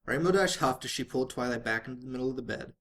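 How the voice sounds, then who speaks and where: A man's voice: medium pitch, rapid-fire, fairly bright tone. A man reading fan fiction aloud as a dramatic narrator, sometimes stumbling and rereading phrases.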